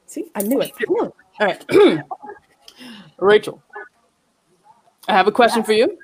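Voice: mid-range voice, big pitch swings, unhurried, breathy edge, dry recording. A moderator on a panel discussion, chatty and self-deprecating while asking a question.

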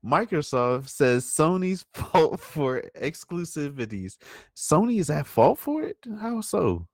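A man's voice: a little high, very animated, slow, a little mellow, dry recording, studio quality. A man co-hosting a podcast with casual chat and commentary. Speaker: podcast host.

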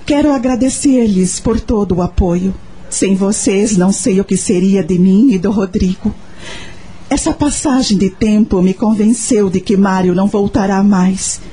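An actress playing an anguished, devoted young mother in a radio soap opera, speaking with earnest emotion and a husky voice. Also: medium pitch, mellow.

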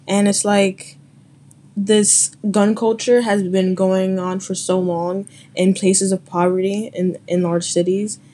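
A woman's voice: mid-range voice, flat delivery, takes it slowly, warm tone. A young woman from the Bronx, speaking hesitantly as a panel guest. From the Bronx, New York City. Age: young adult. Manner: hesitant, searching for words.

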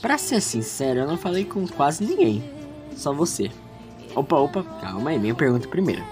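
Woman acting a deep-voiced, hesitant teenage schoolboy. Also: big pitch swings, brisk, music in the background. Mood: casual, curious. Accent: Brazilian. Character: earnest, self-doubting teenage schoolboy with political ambition.

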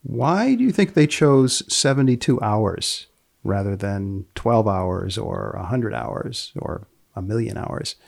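Gravelly, deadpan male podcast host. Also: lowish voice, slow, dry recording. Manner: dry, deadpan questioning. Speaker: podcast host and magazine editor. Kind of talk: interviewing a guest about a research paper, scripted sign-off.

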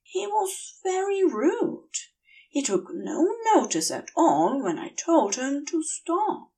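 A woman's voice: high-pitched, big pitch swings, slow, dark tone, far from the mic, voice pushed up, full character voice. A woman voicing a prim, dazed male angel in an audiobook, speaking with polite, rambling earnestness and longing. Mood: affronted.

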